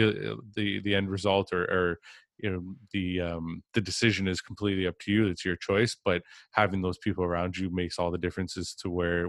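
A man with a very deep voice hosts a casual podcast chat. He speaks in a flat, level tone, full of "like" and "you know" and restarts. The sound is dry and close. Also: dry recording. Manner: rambling, many fillers and restarts. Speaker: podcast host.

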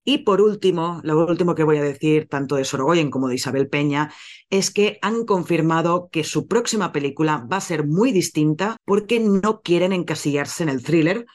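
A man's voice: a little high, moderate pace. Chatty man hosting a film podcast. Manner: chatty, self-correcting, laughs at own slips. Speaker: podcast host presenting a film. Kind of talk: casual film podcast chat.

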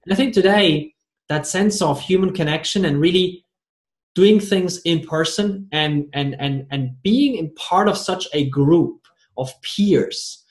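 A man's voice: a little high, measured pace. A man hosting a conversational interview, rambling along and often stumbling over and repeating his words. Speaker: podcast host interviewing a guest. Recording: roomy, echoey sound.

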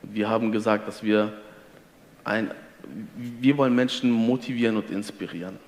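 A man's voice: lowish voice, fairly even intonation, moderate pace, big-room sound. A man from Ostwestfalen in Germany, talking about faith in an earnest, teacherly way and quoting scripture. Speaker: guest. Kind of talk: faith conversation.

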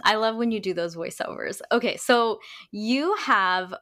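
A woman's voice: medium pitch, animated, a little slow, fairly bright tone. A woman speaking as a podcast host, introducing the show and interviewing a guest.

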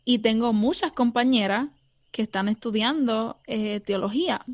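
A woman's voice: a little high, normal speed, no echo, muffled recording. A young Puerto Rican woman, a theology student, answering interview questions earnestly with many fillers and some English words. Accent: Puerto Rican Spanish. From Puerto Rico.

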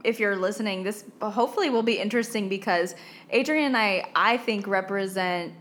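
A woman's voice: mid-range voice, fairly quick, fairly bright tone. An American woman co-hosting a podcast in casual chat, speaking in a joking, self-deprecating way. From United States. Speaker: podcast co-host.

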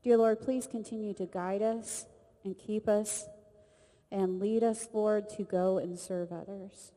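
A woman's voice: mid-range voice, fairly even intonation, unhurried. Female service leader, earnest and chatty, recorded in a reverberant church hall. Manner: earnest, conversational, warm address to the group. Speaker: ministry leader leading a service. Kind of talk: church service hosting and prayer. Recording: long reverb of a large hall.

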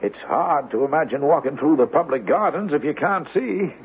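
An actor playing a courteous, reassuring doctor in a 1970s radio drama, with a polite, hesitant delivery. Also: male voice, fairly high pitch, animated, moderate pace, lightly acted. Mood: courteous, concerned. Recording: noisy, tinny old radio broadcast recording.

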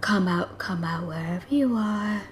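A woman's voice: fairly low pitch, a little slow, slight background noise, lightly acted. Woman playing a gloating female slasher. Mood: taunting. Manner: taunting, teasing and cruel. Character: menacing female slasher killer stalking her prey. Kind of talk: audio roleplay.

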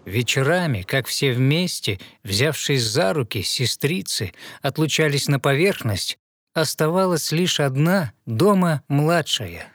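A man narrating a fairy tale in verse with expressive, rhythmic recitation. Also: fairly high pitch, measured pace, dry recording, clean studio sound. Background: music behind the voice.

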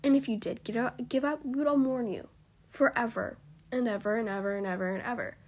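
A young woman with a hoarse, husky voice hosts a solo podcast, her voice fraying in and out. She talks in an encouraging, heartfelt way and often sinks to a whisper. Her pitch sits a little high for a woman. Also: brisk, darkish tone. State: hoarse, voice cutting in and out. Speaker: podcast host. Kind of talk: solo motivational podcast talk.

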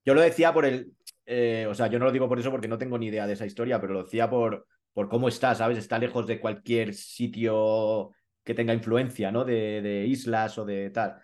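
This is a man with a somewhat low voice, talking casually on a podcast. He thinks aloud and hedges a lot, restarting sentences and slipping into street slang. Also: fairly even intonation, a little fast, darkish tone. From Madrid, Spain. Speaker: podcast conversation participant. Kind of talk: casual chat.

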